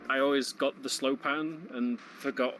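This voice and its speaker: Bright-voiced man commentating a game over music. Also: mid-range voice, steady tone, moderate pace, rough recording. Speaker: speedrun event commentator. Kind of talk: casual gameplay commentary.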